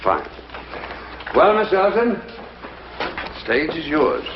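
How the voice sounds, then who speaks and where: A man's voice: fairly high pitch, very animated. Authoritative male official, mid-century TV drama; formal, probing, very varied intonation, muffled noisy soundtrack. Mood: businesslike, probing. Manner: measured, formal, deliberate questioning. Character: authoritative, inquisitive male government secretary leading a demonstration. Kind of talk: mid-century American television drama acting. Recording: dull, noisy vintage TV soundtrack.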